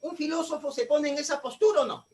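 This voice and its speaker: Male teacher, very high voice, slightly off-mic, lecturing and quizzing his class. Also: fast, darkish tone, distant mic. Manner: asks the class questions and answers them himself.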